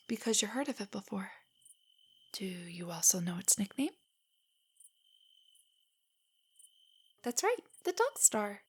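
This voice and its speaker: A young woman playing a nerdy, nervous, tender girlfriend in an intimate stargazing roleplay audio, speaking softly and often near a whisper as she gently rambles. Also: on the high side, husky. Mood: tender, teasing. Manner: rambling, gently explaining, hesitant. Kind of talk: intimate roleplay audio, scripted to sound spontaneous.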